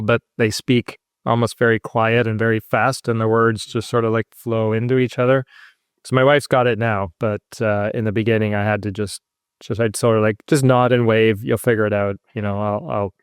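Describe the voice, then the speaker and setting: A man's voice: on the low side, clear, resonant tone, no room sound. Chatty male podcast host, dry close sound. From Scotland. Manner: friendly, chatty, self-deprecating jokes. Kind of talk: interview podcast, casual chat.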